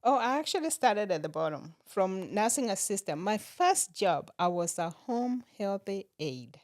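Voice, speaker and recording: A middle-aged woman from Kenya, a nurse practitioner, talking as a podcast guest in a relaxed way and laughing often. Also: mid-range voice, a little slow, no echo, clean studio sound. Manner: laughs often while telling stories. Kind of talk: relaxed interview conversation.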